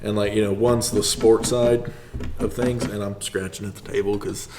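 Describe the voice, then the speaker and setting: A man with a fairly low voice talks casually as a podcast guest. He rambles through stories and mimics other people's remarks, with faint noise behind him. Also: little pitch movement, moderate pace. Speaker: podcast guest, martial artist.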